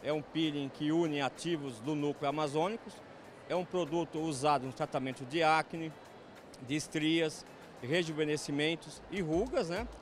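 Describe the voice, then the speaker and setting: An older Brazilian man, a technical consultant, explaining a product at a trade fair stand in a steady, instructive way, often adding "né", into a reporter's handheld mic. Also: on the high side, moderate pace, faint music behind. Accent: Brazilian Portuguese. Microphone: handheld mic held by reporter.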